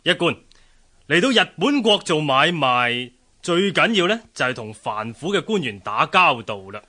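A man's voice: on the high side, sing-song, moderate pace, bright, forceful tone, full character voice. An actor playing a jovial, fatherly older merchant and fixer in a radio drama, genial and avuncular. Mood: genial, advising. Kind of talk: Cantonese radio drama acting.

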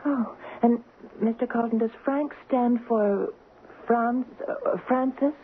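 An actress playing an earnest, hesitant young schoolteacher in love, in a 1970s radio drama. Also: female voice, fairly high pitch, fairly even intonation, slow pace. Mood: hesitant, curious. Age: young adult. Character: earnest young schoolteacher, a woman engaged to a rich heir. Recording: muffled, noisy 1970s radio broadcast.

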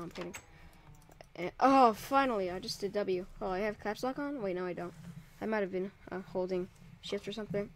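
A man's voice: high voice, a little hiss or hum. Chatty young guy gaming at home. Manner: chatty, easily startled, rambling. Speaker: server owner and host. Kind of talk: casual Minecraft let's play commentary. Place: home room by a window. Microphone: desk mic, drifts off it.

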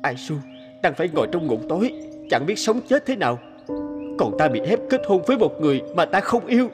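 Young fairy-tale nobleman, lovesick, mournful, higher male voice, lively swings. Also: a little fast, over music. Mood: sorrowful. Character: lovesick wounded nobleman, sorrowful young man. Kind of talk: animated fairy-tale dubbing.

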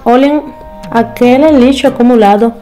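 A woman's voice: on the high side, normal speed, warm tone, faint music behind. A young Cuban woman vlogging casually to a phone camera, speaking careful learner's Portuguese with a Spanish accent. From Cuba. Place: small room at home, indoors.

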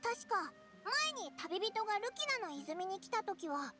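Squeaky little fairy sidekick. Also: female voice, very animated, a little slow, loud music behind the voice, full character voice. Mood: amazed, curious. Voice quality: squeaky, childlike. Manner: boyish speech, exclamatory, cutesy. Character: tiny childlike floating fairy companion, excitable and whiny. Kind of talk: Japanese anime-style game voice acting.

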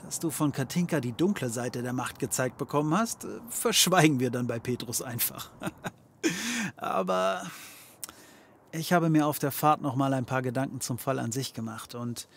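An actor playing a cocky, scheming occult detective in a comedy audio drama, wry and theatrical. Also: male voice, mid-range voice, lively intonation, normal speed, gravelly, fairly bright tone, slight background noise. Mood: sardonic, patronising. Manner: wry, theatrical, mock-patronising.

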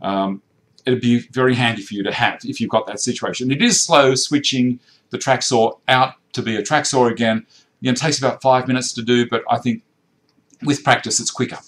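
A chatty Australian man in his fifties, talking to viewers from an indoor workshop. He restarts his sentences often and talks at an ordinary pitch. The sound comes through a webcam a little way off. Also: a little fast. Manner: chatty, animated hand gestures, frequent restarts. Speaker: woodworking channel host. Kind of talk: live-stream chat reading viewer comments. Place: indoor workshop with tool racks.

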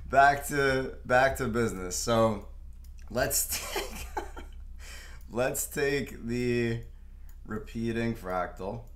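A man's voice: medium pitch, drawn-out words, pauses often, echoey room. A young man hosting a casual market video, talking through charts at his desk in a loose, rambling way with lots of fillers. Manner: rambling, filler-heavy, laughs to himself. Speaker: stock market video host. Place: room at a desk, in front of a screen.

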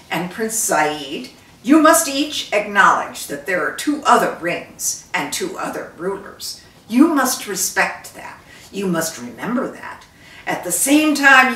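Slow, commanding wise judge read by a woman. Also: mid-range voice, bright, forceful tone, heavily acted. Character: wise, fair, authoritative judge. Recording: roomy, distant microphone.

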